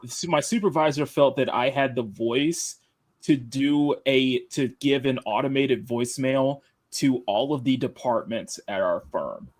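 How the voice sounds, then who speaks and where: A deep-voiced man with a Southern accent chats casually as a live-show host. He rambles, restarts his sentences and laughs often, in a dry, close recording. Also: no room sound. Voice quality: deep, radio-style tone. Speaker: livestream host.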